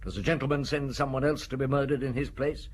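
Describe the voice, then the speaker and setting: An actor playing a blunt, probing middle-aged police inspector in a radio drama. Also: male voice, medium pitch, steady tone, fast talker, a little hiss or hum, close to an everyday voice. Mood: stern.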